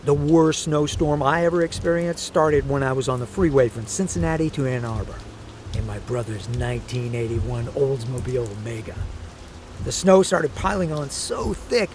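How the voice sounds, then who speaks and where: A man's voice: on the high side, lightly acted. An actor playing a fussy, protective, stubborn aging father in an audio drama, rambling and nagging in a natural way. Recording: steady rain noise behind the voice.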